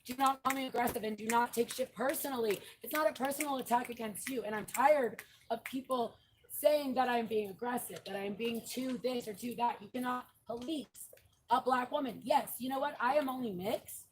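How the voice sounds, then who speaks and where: Forceful, indignant woman at a night protest. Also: on the high side, normal speed, slight background noise, rough recording. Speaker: protest marcher. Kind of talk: impassioned street speech. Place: outdoors at a night street protest.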